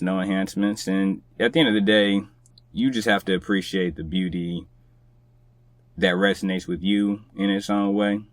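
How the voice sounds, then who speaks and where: A man's voice: deep voice, no room sound. A man talking casually as a guest on a podcast. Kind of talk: casual chat.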